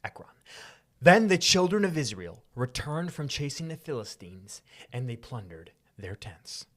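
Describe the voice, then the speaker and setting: A man with a mid-pitched, very animated voice co-hosts a podcast. His delivery is expressive and informal, swinging up and down with a theatrical streak. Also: measured pace. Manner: slangy, expressive, theatrical when reading. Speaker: podcast co-host. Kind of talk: casual podcast chat with animated Bible reading.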